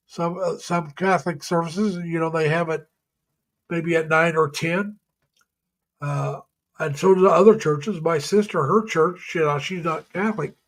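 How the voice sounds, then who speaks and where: A middle-aged man with a slightly raised pitch talks to his live-stream viewers over a home mic. He is folksy and rambling, laughs easily, and halts on plenty of uhs. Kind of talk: casual chat reading viewer comments. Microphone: home webcam or computer mic.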